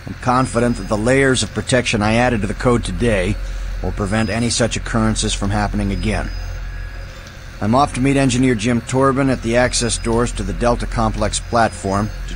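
Guy playing an engineer doing an earnest game audio log, lowish voice, faint background noise. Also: lightly acted. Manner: earnest, explanatory. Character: earnest, confident male engineer logging repairs.

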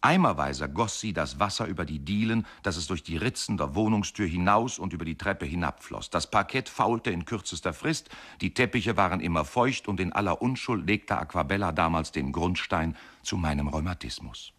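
A deep-voiced, wry older husband. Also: male voice, moderate pace, few pauses, fairly bright tone, breathy edge. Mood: wry, reminiscing. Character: wry, courteous older husband reminiscing about his marriage. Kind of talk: radio play monologue, first-person narration.

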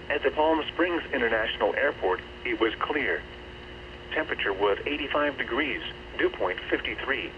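A synthetic man's voice reading a scripted weather bulletin in a formal, measured manner over radio. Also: medium pitch. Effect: radio transmission. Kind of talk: scripted weather forecast and observations. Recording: noisy, dull weather radio reception.

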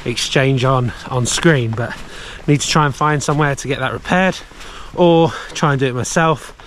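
A man's voice: mid-range voice, moderate pace, a bit bright, slight background noise. A man in his thirties vlogging casually to an action camera held at arm's length, outdoors in a snowy mountain village, chatty and upbeat with frequent filler words. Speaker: snowboarding vlogger.

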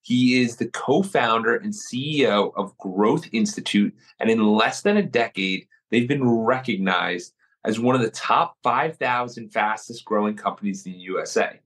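A man hosting a podcast interview, upbeat and asking quick follow-up questions. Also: on the low side, fairly bright tone. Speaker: podcast host.

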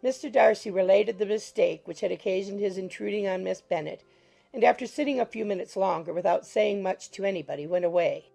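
A woman narrating a classic novel as an audiobook in a measured, formal storytelling manner. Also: medium pitch, creaky, dark tone, faint music behind. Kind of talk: scripted literary narration.

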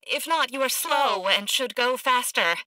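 An actress voicing an eccentric, arrogant woman scientist in a video game, blunt and socially awkward, with clipped, precise, deadpan delivery. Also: high voice, measured pace, no echo, full character voice, rough recording. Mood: deadpan, impatient.

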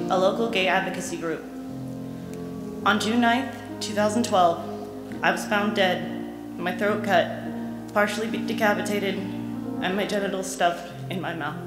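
A woman reads prepared text aloud solemnly as a volunteer at a memorial. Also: mid-range voice. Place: church lectern, indoors. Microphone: lectern gooseneck microphone. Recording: echoey church hall, music under voice.

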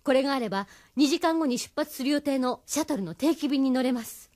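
A woman playing an urgent, resolute resistance member in an audio drama, brisk and firm. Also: sits high, lively intonation, a little mellow, no echo, clean studio sound. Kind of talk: Japanese audio drama acting.

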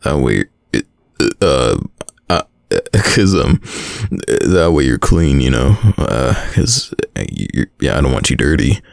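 A shy, caring young boyfriend voiced by a man in an intimate audio roleplay. He speaks slowly and haltingly in an extremely low voice that swings expressively, stammering like a smitten student. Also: sing-song, gravelly, no echo, studio quality, full character voice. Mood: flustered. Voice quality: deep. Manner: hesitant, stammering, nervous chuckles.

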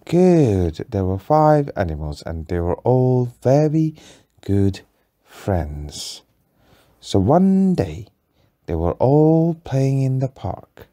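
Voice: mid-range voice, very animated, slow pace, warm tone. An adult telling an improvised bedtime story to a child, patiently and in simple phrases.

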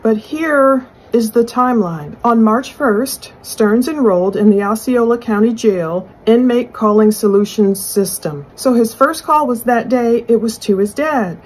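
A middle-aged woman giving conversational true crime commentary in a husky voice, explaining and speculating as she poses questions aloud. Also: on the high side, normal speed, hardly any pauses, darkish tone, rough recording. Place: small furnished room indoors.

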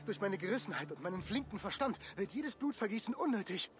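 An actor playing a nervous, boastful, stuttering monk who poses as a pompous lord in a video game. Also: male voice, high-pitched, expressive, quick pace. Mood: anxious, self-deprecating. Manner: stutters when nervous, blustering. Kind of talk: dramatic video game dialogue.

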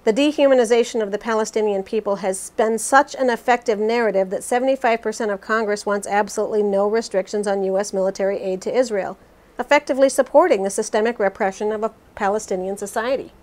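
A woman voicing a resolute, critical politician in a scripted interview re-enactment, formal and measured. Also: mid-range voice, a little mellow, lightly acted.

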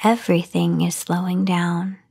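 Breathy, half-whispering woman guiding meditation. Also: fairly low pitch, monotone, dark tone. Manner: soothing, unhurried, long pauses. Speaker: meditation guide. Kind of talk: guided sleep meditation narration, soft-spoken. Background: voice only, no music or background noise.

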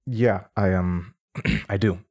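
A man's voice: deep voice, fairly even intonation, slow, a little mellow, exaggerated voice. An actor playing a nervous, confused young man under interrogation, stammering and hesitant in a naturalistic audio drama. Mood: uneasy, defensive.

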